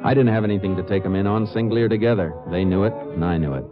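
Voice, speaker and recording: Male actor as dogged American Texas Ranger: low, measured, probing; 1950s western radio sound, dulled with age. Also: lightly acted. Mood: steady narration. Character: calm, dogged Texas Ranger investigating a murder. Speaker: lead actor and narrator. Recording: muffled old radio broadcast, music.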